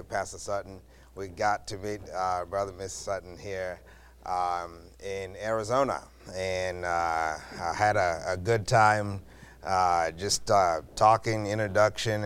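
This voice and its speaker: A missionary man from Zambia, talking to a church with many pauses and uh fillers. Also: sits low, fairly even intonation, clean tone. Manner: halting, with frequent uh fillers. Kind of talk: church greeting and testimony.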